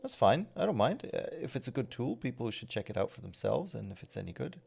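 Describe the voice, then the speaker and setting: A man, chatting casually on a livestream and answering viewers' questions while he works, relaxed and rambling with lots of fillers and restarts. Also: medium pitch, quick pace, vocal fry, a little hiss or hum. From Belgium. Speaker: streamer answering chat.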